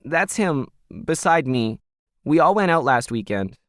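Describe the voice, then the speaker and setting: Guy doing a teen girl, upset and pleading, voice pushed way up high, dry story recording. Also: very animated, a little slow, no echo. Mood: subdued. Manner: tearful, protesting. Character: distraught teenage daughter, earnest and pleading. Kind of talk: audio fiction character dialogue.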